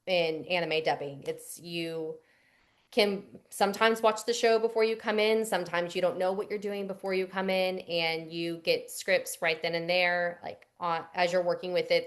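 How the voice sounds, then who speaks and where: Young woman voice actress, kinda low voice, rambly chatty answers w/ lots of ums. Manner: chatty, rambling, many fillers and restarts. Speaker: voice actress guest. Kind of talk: casual interview answers.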